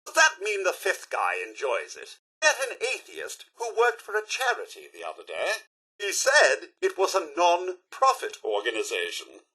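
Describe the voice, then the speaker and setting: Posh, wildly animated British robot butler. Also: male voice, high voice, moderate pace, slightly rough, exaggerated voice. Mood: jokey. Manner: posh, theatrical, formal servant speech. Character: polite, fussy robot butler, by turns devoted and snide. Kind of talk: video game character voice lines.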